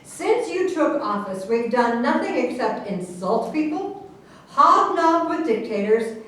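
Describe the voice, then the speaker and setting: In a very echoey room, away from the mic, a woman acts out a firm female presidential candidate on stage. The voice is fairly high. She sounds earnest, accusing and indignant. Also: big pitch swings, a little slow, fairly bright tone. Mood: reproachful. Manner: reproachful, earnest. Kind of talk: staged play reading, also reads stage directions. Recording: echoey room, distant mic, faint noise.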